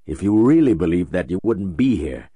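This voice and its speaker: A calm, enigmatic tycoon, played by a man with a very deep, husky voice that swings widely in pitch. He delivers slow, deliberate pronouncements in a storybook reading. The sound is close and dry, with no room around it. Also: dry recording. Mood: persuasive. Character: calm, enigmatic wealthy middle-aged man. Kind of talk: audiobook character voice.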